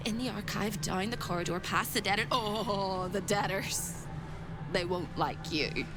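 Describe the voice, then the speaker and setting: An actress playing an unstable, desperate captive woman in a video game, erratic and repetitive, swinging between hushed urgency and frantic begging. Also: medium pitch, measured pace, lightly acted. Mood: wavering, unhinged. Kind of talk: dramatic video game acting. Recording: music behind the voice.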